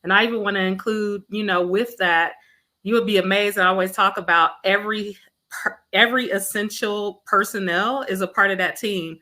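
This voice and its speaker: Earnest woman education leader, bright voice. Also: mid-range voice, fairly even intonation, moderate pace. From Mississippi. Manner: warm, earnest storytelling with fillers. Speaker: school district chief academic officer. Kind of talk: interview guest.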